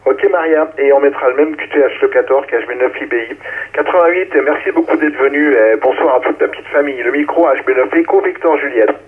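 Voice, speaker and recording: A man's voice: a little high, fairly quick. A man acting as net controller, calling stations in turn over an amateur radio relay. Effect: amateur radio transmission. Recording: narrowband, phone-like ham radio relay.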